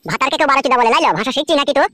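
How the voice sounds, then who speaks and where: Quarrelsome village husband played by a man: high for a male, rapid, dismissive. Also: sharp tone, slight background noise. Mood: defensive. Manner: dismissive, threatening. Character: gruff, defensive, foul-mouthed village husband. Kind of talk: comedy cartoon dialogue.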